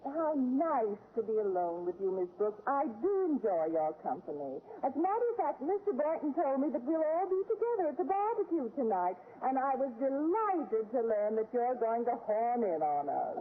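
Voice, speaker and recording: An actress playing a catty rival schoolteacher, gushing with false sweetness, in an old radio sitcom. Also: female voice, sits high, sing-song, normal speed, few pauses. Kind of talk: 1940s-50s radio sitcom acting. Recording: tinny, very noisy vintage radio recording.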